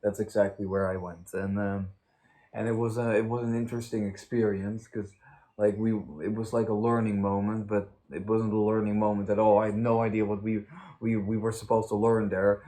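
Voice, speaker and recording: A young man telling anecdotes in casual chat, halting and rambling as he goes. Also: on the low side, little pitch movement, mellow. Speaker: host of a story time channel.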